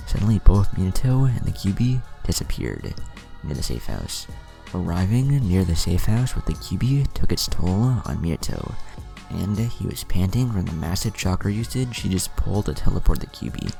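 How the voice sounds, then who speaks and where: Casting: man for dramatic story narration, bassy with heavy vocal fry, lively intonation. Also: normal speed, soft background music. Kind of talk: scripted dramatic fan-fiction narration.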